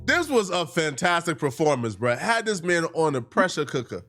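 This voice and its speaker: A man's voice: fairly high pitch, very animated, normal speed, fairly bright tone, faint music behind. An American man hosting casual reaction commentary, slangy and speaking straight to his viewers. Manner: slangy, addresses viewers directly. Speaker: reaction video host.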